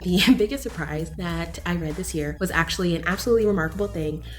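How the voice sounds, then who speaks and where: A woman's voice: lowish voice, fast talker, loud music behind the voice. A woman chatting casually to viewers as a book reviewer, gushing and candid with emphatic opinions.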